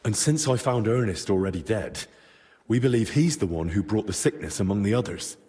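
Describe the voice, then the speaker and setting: An actor playing an earnest, inquisitive young adventurer investigating in a medieval game, plain-spoken and probing. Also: male voice, fairly low pitch, lively intonation, a little fast, dark tone, lightly acted. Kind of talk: dramatic video game cutscene acting.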